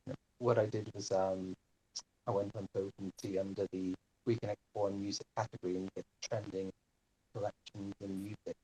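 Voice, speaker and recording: Low, husky man explaining hesitantly. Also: low-quality recording. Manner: polite, hesitant, hedging. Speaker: participant presenting his own research. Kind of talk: informal spoken report. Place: live online audio chat room.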